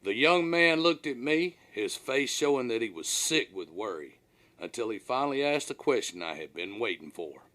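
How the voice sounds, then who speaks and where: A man's voice: medium pitch, animated, moderate pace, pushed and bright. A man reading his own humorous story as narrator, with a dramatic, mock-serious, folksy delivery.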